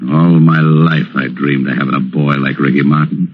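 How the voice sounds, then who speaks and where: A man's voice: a bass voice, fairly even intonation, moderate pace, husky. An actor playing a falsely genial, menacing crime boss with slangy American tough-guy talk, in 1940s radio suspense style. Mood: slyly genial. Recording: muffled, noisy vintage radio recording.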